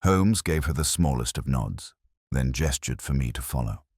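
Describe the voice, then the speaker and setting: A man reading a scripted first-person detective story as an audiobook narrator, in a measured, literary and dramatic manner. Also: a bass voice, lively intonation, darkish tone, husky, dry recording, studio quality.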